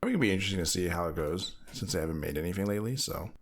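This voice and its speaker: Deep-voiced man with lively intonation. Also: racing. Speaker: podcast co-host. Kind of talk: casual chat.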